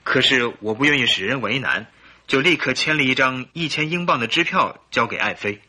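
A man's voice: mid-range voice, animated, a little fast, bright, forceful tone, slightly rough, heavily acted. A man acting a polite, earnest, troubled English husband in his early thirties in a Chinese radio drama. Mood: concerned, puzzled.

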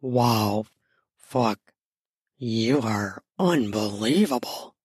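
A woman voicing a lustful, admiring male lover in an audiobook, with a gruff, cocky, muttering delivery. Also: steady tone, no room sound, studio quality, heavily acted. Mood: awed, admiring. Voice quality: low husky murmur. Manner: slow, muttering through clenched teeth.

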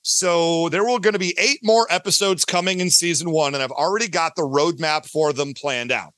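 A man's voice: on the high side, moderate pace, fairly bright tone, no echo. A man talking casually as an interview guest about his creative work, talkative and often correcting himself mid-thought. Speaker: guest, audio drama creator.

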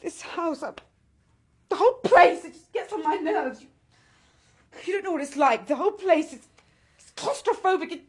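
An actress playing an anxious, fretful British housewife and mother in a radio drama, speaking in agitated, halting phrases that drop into whispers. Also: very high voice, expressive, darkish tone. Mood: frightened.

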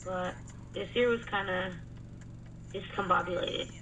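A woman's voice: on the low side, fairly even intonation, unhurried, fairly bright tone, a little hiss or hum. A woman co-hosting a casual podcast, talking about her week in a chatty, matter-of-fact way. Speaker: co-host. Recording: distant from the mic, music behind.

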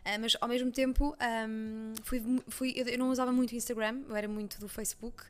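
A woman's voice: on the high side, smooth. A young adult woman journalist with a Portuguese accent from Leiria, talking animatedly in an interview with frequent restarts. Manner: fast, animated, many restarts and fillers. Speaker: journalist guest. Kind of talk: conversational interview.

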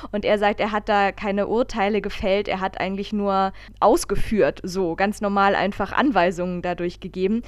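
A woman's voice: mid-range voice, steady tone, normal speed, hardly any pauses, clear, resonant tone, no room sound. A woman talking casually as a podcast host, telling things in a rambling, emphatic way. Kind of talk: casual conversational podcast talk.

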